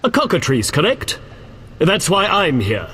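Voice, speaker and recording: An actor playing an earnest, pious knight in a video game, speaking in a formal, courteous and solemn manner. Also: male voice, high voice, wildly swooping pitch, measured pace, pushed and bright, slight background noise, full character voice. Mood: courteous, eager. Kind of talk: video game character acting. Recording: long echoing reverb.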